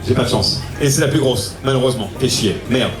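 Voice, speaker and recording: A man's voice: mid-range voice, a little fast, background noise. A man chatting loosely at a car event, mocking himself. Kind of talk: casual chat at car event. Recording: echoey with music.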